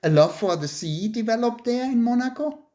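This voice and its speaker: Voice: medium pitch, expressive, normal speed, dark tone. An interviewer from Germany, speaking casually and chattily with frequent laughs between remarks.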